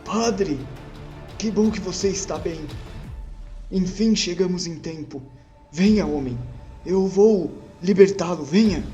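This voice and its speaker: Man acting a kind rescuer, pitched up. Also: takes it slowly, pauses often, warm tone, dull audio, faint music behind. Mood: reassuring. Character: kind, protective male rescuer comforting a wounded priest.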